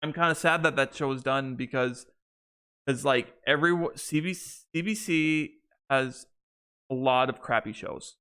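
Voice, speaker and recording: A man's voice: mid-range voice, measured pace, bright, forceful tone, clean tone. A young man co-hosting a podcast, chatting casually and rambling with many restarts and fillers. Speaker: podcast co-host. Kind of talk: casual chat.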